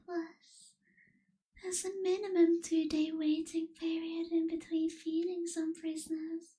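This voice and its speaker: A gentle young female vampire, acted by a woman for an ASMR roleplay, with an extremely high, girlish voice that stays soft and breathy. She speaks very slowly and tenderly, slipping toward a whisper, with little rise or fall in her tone. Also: level tone. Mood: apologetic, confiding. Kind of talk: ASMR roleplay, intimate scripted acting.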